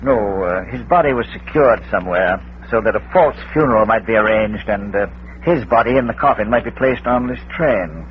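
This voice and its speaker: A man's voice: lowish voice, expressive, a little fast, natural voice. Muffled old radio drama, guy playing a polite dry schoolmaster detective, precise, bit hesitant, light hiss. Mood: suave explanation. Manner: precise, hesitant, dryly formal. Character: genteel, unflappable older schoolmaster and amateur detective. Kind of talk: 1940s radio acting.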